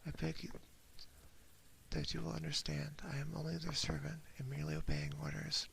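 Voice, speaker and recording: A man voicing an uneasy company messenger in a horror story, stiff, measured and apologetic. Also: on the high side, very animated, gravelly, fairly bright tone, a little hiss or hum, exaggerated voice. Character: formal, uneasy male company messenger bearing bad news.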